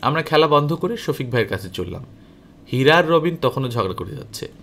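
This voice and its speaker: A man reading a story aloud as an audiobook narrator, in an expressive, animated way that dramatizes the dialogue. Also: medium pitch, fairly quick, creaky. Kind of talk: expressive scripted story narration, first-person as a boy.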